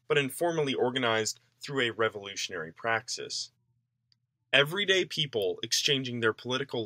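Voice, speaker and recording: Bright-voiced man reading an essay. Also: medium pitch, no echo, studio quality. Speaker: reader of an essay. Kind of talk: scripted narration, reading a political essay aloud.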